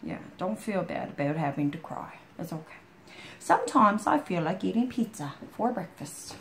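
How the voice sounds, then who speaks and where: Brief: hoarse woman with a gravelly voice and lively pitch, rambling and folksy, faint hiss behind. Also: moderate pace. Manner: rambling, folksy, full of "you know". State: hoarse from a chest cold. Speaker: storytime vlogger. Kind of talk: casual heartfelt chat to a friend.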